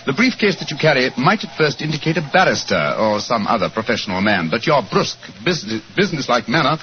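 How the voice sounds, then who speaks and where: A man voicing a cool logical detective, dry, precise, lively pitch, dull hissy old radio sound. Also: fast, natural voice. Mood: wry. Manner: dry, precise, faintly amused. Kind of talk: 1940s radio acting.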